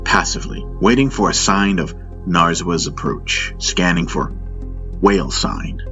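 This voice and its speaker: A man with a very deep voice narrates a first-person space story. The sound is a little muffled, and music plays loudly behind him throughout. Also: a little slow. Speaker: audiobook narrator. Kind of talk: scripted first-person sci-fi audio drama narration. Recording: dull muffled sound under loud music. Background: loud music.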